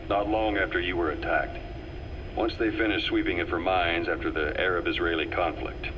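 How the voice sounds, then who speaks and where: A man acting a low-voiced, composed military operative. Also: fairly even intonation, close to an everyday voice. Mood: brisk, instructive. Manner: measured, matter-of-fact, explanatory. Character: worldly, composed male military operative briefing a comrade. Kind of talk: scripted game dialogue, expository briefing. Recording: faint background noise, cassette tape briefing.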